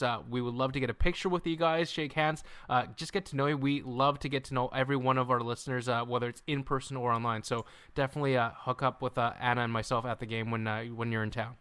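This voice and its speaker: A man's voice: medium pitch, a bit bright, clean tone. A man co-hosting a casual podcast chat over a call, bantering and boasting in a jokey way. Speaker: podcast co-host. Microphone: remote call mic.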